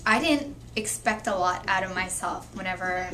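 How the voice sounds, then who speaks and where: A 22-year-old woman speaking in a measured, thoughtful way as a young mother sharing her story. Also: mid-range voice, background noise. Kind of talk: candid personal testimony.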